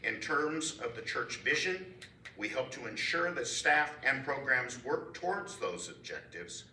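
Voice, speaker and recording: A man reading out a committee statement at a church council meeting, upbeat, with pauses between phrases. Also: medium pitch, sing-song, pushed and bright. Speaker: committee member giving a report. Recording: echoey room, mic far off, faint noise.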